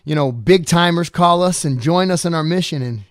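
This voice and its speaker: A man co-hosting a podcast, enthusiastic and jokey, chiming in with quick affirmations. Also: on the high side, dry recording, clean studio sound. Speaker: podcast co-host. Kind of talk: casual chat.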